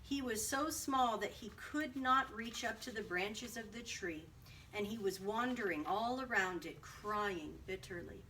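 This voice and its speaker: A woman narrating a children's story aloud in an expressive read-aloud style. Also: medium pitch, a little slow. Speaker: storybook reader. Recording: distant mic, faint background noise.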